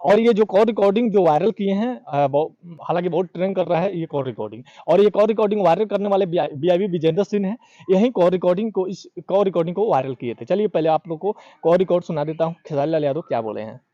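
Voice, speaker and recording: A man presenting news informally and unscripted, halting and repeating himself, in a dull lo-fi recording. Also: sits high, mellow, no room sound.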